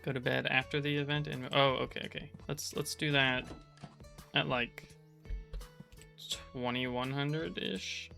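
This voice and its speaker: A man talks through a game in a monotone, held-back voice, kept quiet so he won't disturb anyone in his apartment. His tone has a gravelly fry and a bright, forward edge, and he wanders off topic with lots of stops and restarts. Soft game music plays faintly behind him. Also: mid-range voice, unhurried. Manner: rambling with many restarts. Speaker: gaming channel host. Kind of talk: casual gameplay commentary.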